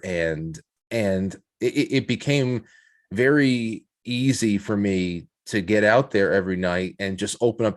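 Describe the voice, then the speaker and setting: A man with a fairly low voice talks in a reflective, conversational interview style. He feels his way into each point, often repeating a word or two before moving on, like a podcast guest thinking aloud. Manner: halting, repeats words as he builds points. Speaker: podcast guest, show host.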